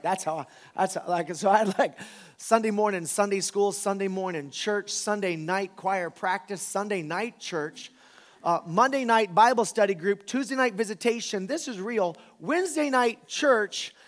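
A man in his forties with a notably high voice delivers a conversational sermon. He is a pastor from the American South who talks informally, leaning on repetition for emphasis. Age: 40s. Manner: informal, emphatic, repeats phrases for effect.

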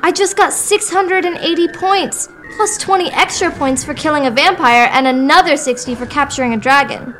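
A woman's voice: sits high, moderate pace, hardly any pauses, fairly bright tone, faint music behind, low-quality recording. A woman playing a cheeky young girl hooked on video games in a radio play, whiny and defiant.

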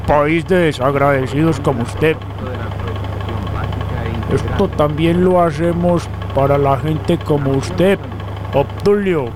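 Casting: cynical army commander, higher-set male voice, unhurried, condescending, audio drama with loud traffic behind. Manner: condescending, self-justifying, chuckling contemptuously. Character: smug, menacing military commander, cynical and condescending.

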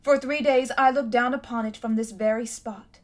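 A woman voicing a cold, imperious tyrant queen in a storybook reading, speaking loudly and grandly. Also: on the high side, fairly even intonation, normal speed, natural voice. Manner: haughty, commanding, grand.

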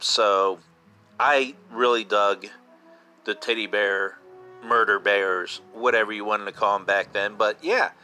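Male podcast host, fifties: bright, punchy, informal fan chat, repeats points for emphasis, faint music bed. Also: fairly low pitch. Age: 50s. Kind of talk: casual solo podcast talk.

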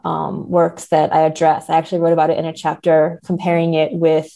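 Lady professor on a podcast, soft mellow tone, lowish, explains stuff clearly. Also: monotone, brisk. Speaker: academic guest, professor and literary translator. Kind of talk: podcast interview, explaining research.

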